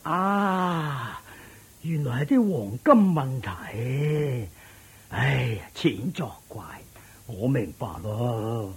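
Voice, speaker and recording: Radio drama role: loyal, anxious old male retainer, Hong Kong Cantonese accent, very rough breathy voice, animated intonation. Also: mid-range voice, very slow, heavily acted. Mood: sighing, worried. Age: older.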